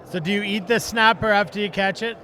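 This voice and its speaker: A man's voice: sits high, steady tone, a little fast, bright, forceful tone. A man hosting, asking teasing questions of a guest. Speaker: host.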